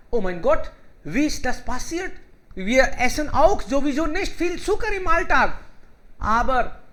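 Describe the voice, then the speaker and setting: A man hosting an online conversation club over a video call, talking in simple, halting sentences with fillers and welcoming each participant. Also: very high voice, a bit bright. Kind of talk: casual group conversation in learner German.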